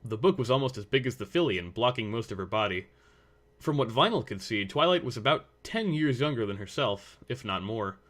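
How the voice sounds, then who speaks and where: A man's voice: mid-range voice, lively intonation, a little fast, a bit bright. A man reading scripted story narration as the narrator.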